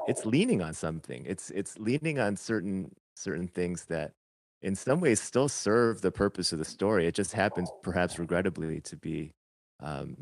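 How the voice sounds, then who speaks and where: A man on a podcast talking about a film, weighing his words and qualifying each point. His voice is very deep. The recording is dry and close. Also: darkish tone, dry recording. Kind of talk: casual film review discussion.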